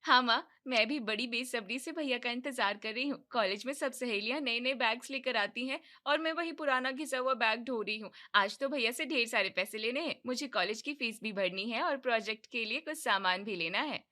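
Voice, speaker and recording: A woman's voice: brisk, hardly any pauses, pushed and bright. A woman doing an eager, wheedling young college girl. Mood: eager pleading. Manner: eager, pleading, higher-pitched.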